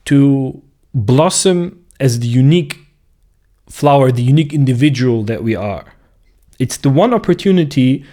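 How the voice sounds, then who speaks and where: A man, a clinical psychologist talking in a slow, reflective monologue and searching for words as he goes. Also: mid-range voice, a little mellow. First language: German. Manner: thoughtful, searching for words with restarts.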